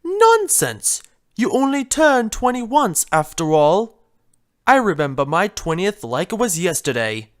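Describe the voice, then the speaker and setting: A woman voicing a mischievous male chaos creature with a soft heart, theatrical and teasing, in a story reading. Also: medium pitch, very animated, a little slow, dry recording, clean studio sound, voice pushed up. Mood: upbeat, insistent.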